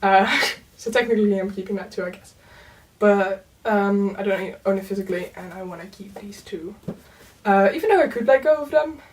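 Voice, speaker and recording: A young woman whose first language is Dutch talks through her bookshelf in a loose, chatty way, dotting her sentences with "um" and "like". Her voice sits at a medium pitch and picks up a little distance, as if the mic is set back from her. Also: normal speed. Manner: chatty, lots of "um" and "like", soft laughs. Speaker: book vlogger. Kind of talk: casual chat.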